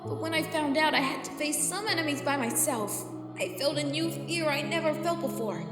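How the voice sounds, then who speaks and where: Woman acting a very high-voiced, earnest young cartoon pony. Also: moderate pace, lightly acted. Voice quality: high-pitched, youthful. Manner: excitable, earnest, openly emotional. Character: emotional young female cartoon pony hero, brave and loyal. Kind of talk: fan audio drama acting. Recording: roomy reverb under loud music.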